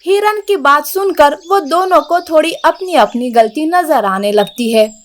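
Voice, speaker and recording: A woman's voice: high-pitched, a little slow. A woman narrating a scripted moral story for children.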